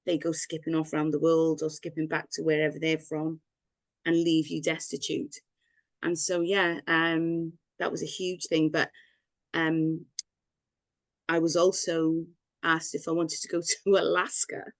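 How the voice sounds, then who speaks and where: A woman's voice: deep voice, monotone, measured pace, dry recording. A woman from Wales, a guest telling her own story in a rambling, candid way with frequent laughs. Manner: rambling, personal, laughs often. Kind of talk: candid personal story in interview.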